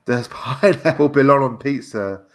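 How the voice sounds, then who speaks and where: A man's voice: medium pitch, unhurried. A man chatting casually on a livestream, hesitant and often repeating his phrases as he goes.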